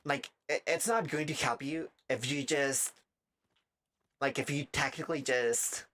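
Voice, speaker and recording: A streamer with a raspy, dry-throated voice, chatting casually in a halting way and often breaking off mid-thought. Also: medium pitch, a bit bright. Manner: halting, self-interrupting, trailing off mid-thought. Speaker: live streamer. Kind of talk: casual rambling chat while gaming, giving art advice.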